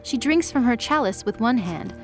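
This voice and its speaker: A woman's voice: on the high side, animated, moderate pace. A woman reading scripted present-tense story narration as the narrator of an audio drama. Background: background music.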